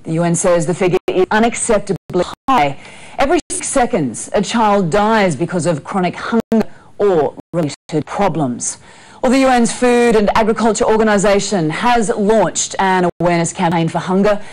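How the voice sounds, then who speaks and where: A woman in her thirties presenting live TV news, reading briskly from a script and then asking loose questions. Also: medium pitch, animated, a little mellow, poor audio. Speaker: news anchor. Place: TV news studio.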